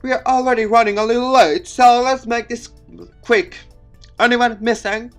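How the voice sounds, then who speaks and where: A man voicing a brisk, exasperated schoolteacher announcing things to a class in a visual novel game. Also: very high voice, fairly even intonation, a bit bright, faint music behind, voice pushed up. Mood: brisk, dismissive.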